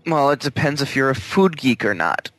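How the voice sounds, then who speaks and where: A man's voice: mid-range voice, very animated, normal speed, a bit bright. A man co-hosting a podcast, bantering and teasing his co-host in casual chat.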